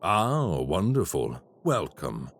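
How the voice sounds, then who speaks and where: A man playing a deep, slow, courteous crime boss. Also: big pitch swings, heavily acted. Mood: smooth menace. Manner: slow, courteous and quietly menacing. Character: calm, polite male crime boss, menacing. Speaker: voice actor. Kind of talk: audio roleplay.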